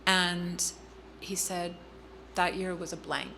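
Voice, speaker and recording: A middle-aged woman telling a personal family story in an interview, earnest and halting, with frequent ums and restarts. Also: lowish voice, fairly even intonation, gravelly, noisy background. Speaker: guest speaker.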